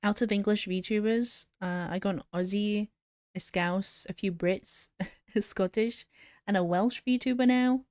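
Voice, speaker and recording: A woman's voice: mid-range voice, fairly even intonation, measured pace, a little mellow, no echo, clean studio sound. A woman streamer chatting casually and giggling as she answers viewers while she draws. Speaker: VTuber streamer.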